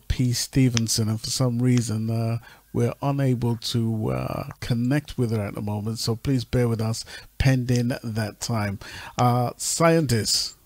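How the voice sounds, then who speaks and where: A man hosting a radio talk show, speaking haltingly with many fillers while sorting out call connections. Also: mid-range voice, darkish tone, no room sound.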